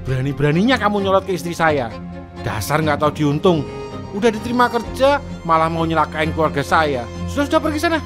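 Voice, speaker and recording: A blustering stingy stall boss. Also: male voice, a little high, big pitch swings, fairly quick, over music. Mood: furious. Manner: blustering, indignant, shouting. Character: arrogant stingy stall-owner husband, middle-aged. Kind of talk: cartoon drama voice acting.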